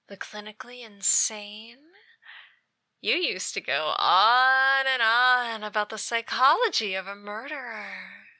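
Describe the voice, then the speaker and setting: Woman acting a slow, whispery obsessive yandere ex-girlfriend. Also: fairly high pitch, bright, forceful tone, no room sound, exaggerated voice. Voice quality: breathy, often dropping into whispers. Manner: slow, deliberate, taunting, theatrical. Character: obsessive, menacing yandere ex-girlfriend stalking her beloved. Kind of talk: ASMR roleplay, dramatic monologue. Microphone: close dry microphone.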